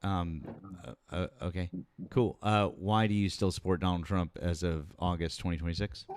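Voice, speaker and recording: A man's voice: deep voice, steady tone, moderate pace, a little mellow, clear, resonant tone, dry recording, studio quality. A man hosting a live political talk stream, taking callers and speaking casually with lots of fillers and blunt swearing. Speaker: political talk show host.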